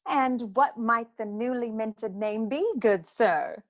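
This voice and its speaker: A woman's voice: fairly high pitch, slow pace. An actress playing a poised, witty woman who works beside a noir detective, speaking in an arch, formal, articulate way in a comic radio play. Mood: arch, warm.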